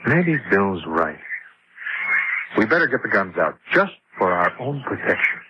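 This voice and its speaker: A man's voice: on the low side, very animated, a little slow. An actor playing a wary, uneasy man helping at a relief camp in old-time radio drama style. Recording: muffled old radio with heavy noise.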